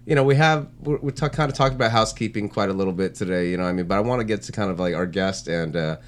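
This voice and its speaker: A man's voice: deep voice, rapid-fire. A man co-hosting a casual chat, talking in a rambling, slangy way with plenty of "like" and "you know". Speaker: podcast co-host, street art blogger.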